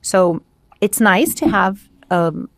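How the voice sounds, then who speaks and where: A woman's voice: mid-range voice, slow, gravelly, no room sound. Woman, slight Brazilian accent, native Portuguese speaker, English teacher, casual discussion, emphatic phrasing, dry close sound.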